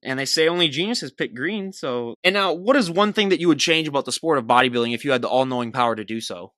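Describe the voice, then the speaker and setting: Man, podcast host, fast talker, bright forceful voice, dry close sound. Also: mid-range voice, expressive, no room sound. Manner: upbeat, quick, frequent affirming interjections. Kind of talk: rapid-fire interview questions.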